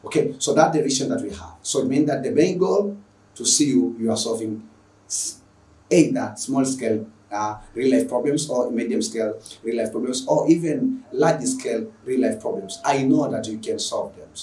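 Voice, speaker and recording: A man's voice: mid-range voice, normal speed, mellow. Echoey, distant Tanzanian male teacher. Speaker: online secondary school mathematics teacher. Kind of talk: spoken lesson introduction to viewers. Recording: echoey room, distant microphone.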